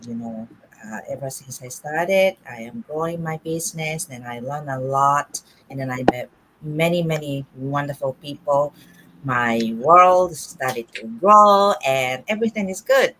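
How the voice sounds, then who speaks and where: A middle-aged woman from Japan leads a relaxed online chat with a low voice that still rises and falls a great deal. She talks in a friendly, hesitant way, pausing on fillers between thoughts. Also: a little hiss or hum, rough recording. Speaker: host. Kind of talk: casual group chat.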